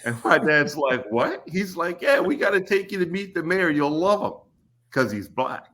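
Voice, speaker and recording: A man's voice: a little high, big pitch swings, fairly bright tone. Laid-back man telling a story. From Kentucky. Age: 40s. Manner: easygoing, laughs often, pauses with fillers. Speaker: podcast guest. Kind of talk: casual storytelling conversation.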